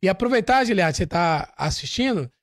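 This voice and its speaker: A relaxed man from Salvador, Bahia, hosts a business podcast and chats easily with his guest. His voice is a little high. The sound is dry and close to the mic. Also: brisk, no echo. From Salvador, Bahia, Brazil. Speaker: podcast host. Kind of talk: casual interview chat.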